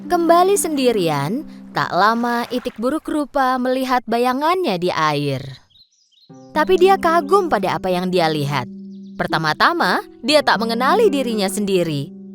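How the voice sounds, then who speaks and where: A woman narrating a children's cartoon story in a lively, expressive way. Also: on the high side, normal speed. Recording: narration over loud background music.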